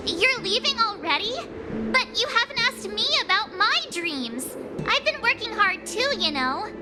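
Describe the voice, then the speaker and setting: A needy, bubbly little girl for a video game. Her voice is squeaky-high and bounces around as she whines and pouts, over loud music. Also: very animated, measured pace, full character voice. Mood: pouty. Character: bubbly, needy young girl, pouty and eager. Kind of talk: animated video game voice acting.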